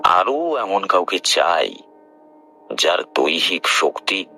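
A man playing a seasoned tantric telling a horror tale in an audio drama, grave and knowing, slow and deliberate with dramatic pauses. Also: low voice, sing-song, bright, forceful tone, faint music behind, exaggerated voice. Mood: earnest, resolute. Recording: clearly noisy background ambience.

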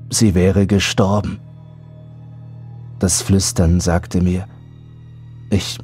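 A man's voice: sits low, a little mellow. A man reading a scripted first-person horror story as an audiobook narrator. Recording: background music under voice.

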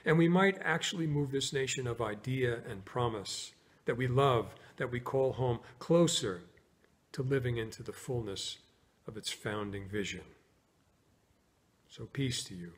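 An American man in his sixties, a pastor preaching and praying in a solemn, measured way with pauses between short phrases. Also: medium pitch. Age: 60s. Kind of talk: sermon, scripture reading and prayer. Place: inside a church, near the altar.

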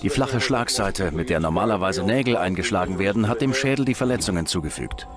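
A man's voice: sits low, expressive, brisk. A man playing a matter-of-fact forensic pathologist in a true-crime audio drama, clinical and precise. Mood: clinical, factual. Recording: voice under loud music. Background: loud music.

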